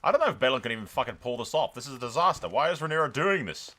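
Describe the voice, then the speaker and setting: A man hosting a game roleplay series, telling the story as he plays in an excitable, dramatic way. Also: mid-range voice, very fast. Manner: excitable, dramatic, mild swearing. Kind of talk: lively game playthrough commentary and storytelling.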